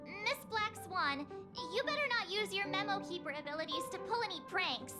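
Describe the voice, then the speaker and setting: An actress voicing a tiny, bossy, cheerful mascot conductor in a video game. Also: female voice, very high-pitched, animated, moderate pace, music in the background. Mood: officious, excited.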